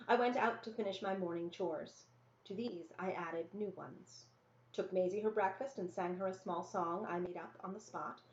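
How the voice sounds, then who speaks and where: A woman reading a children's novel aloud as scripted first-person narration. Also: mid-range voice. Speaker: story reader. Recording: roomy, echoey, dull lo-fi sound.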